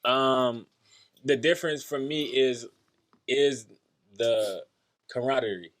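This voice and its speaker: A man's voice: mid-range voice, slow pace, fairly bright tone. A man from California talking casually on a podcast in a laid-back way, often tagging "you feel me". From San Bernardino, California. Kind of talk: casual chat.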